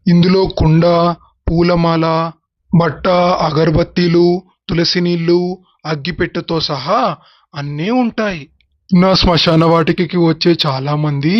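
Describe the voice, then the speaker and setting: A man playing a gentle, sorrowful village father who explains things tenderly and patiently, in an animated moral story. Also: fairly high pitch, normal speed, no echo, lo-fi sound, lightly acted. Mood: patient explaining. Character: gentle, sorrowful, doting village father and cremation-ground keeper.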